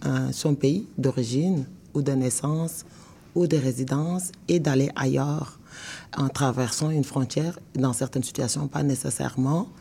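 Mellow, creaky Senegalese woman, didactic. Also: very deep voice, fairly even intonation. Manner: measured, didactic, defines terms step by step. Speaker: law professor, expert guest. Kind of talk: explanatory interview answers.

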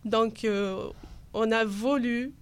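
A woman's voice: fairly high pitch, steady tone, slow pace, clean tone. A woman with an Algerian accent answering interview questions conversationally, hesitant as she searches for words. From Algeria, Kabylie coast. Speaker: interview guest.

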